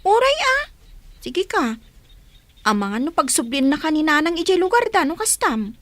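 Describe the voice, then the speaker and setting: A woman's voice: high-pitched, big pitch swings, normal speed, a bit bright. A woman playing a sensible young big sister in a radio drama, patiently cautioning and admonishing. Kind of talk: Filipino radio drama acting.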